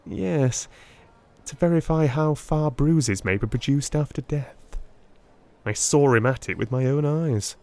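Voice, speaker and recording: A man voicing a hesitant, gossipy young gentleman with emphatic, animated delivery in a dramatised Victorian audiobook. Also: mid-range voice, a little slow, voice pushed up, natural voice. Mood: uneasy, confiding.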